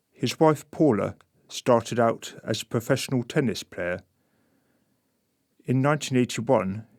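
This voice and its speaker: A man narrating a scripted radio play in a deliberate, detached manner. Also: mid-range voice, gravelly, no room sound, studio quality. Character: measured, observing narrator introducing a married couple.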